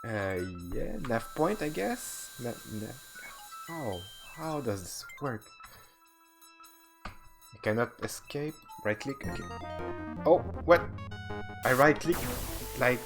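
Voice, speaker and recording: A man streaming a retro game live, commenting in a halting way with many restarts. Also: medium pitch, sing-song, vocal fry, music in the background.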